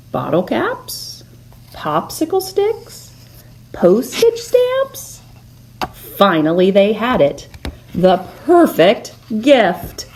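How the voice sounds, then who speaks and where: Slow, animated woman reading a storybook. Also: mid-range voice. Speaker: read-aloud storyteller. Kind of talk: expressive children's picture book narration.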